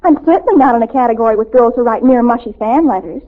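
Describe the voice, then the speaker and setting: A woman's voice: fairly high pitch, fast talker, close to an everyday voice. A woman playing a dramatic, starstruck, self-important American teenage girl in a 1940s radio sitcom, gushing and theatrical. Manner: gushing, theatrical, grandly affronted. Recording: tinny old radio recording.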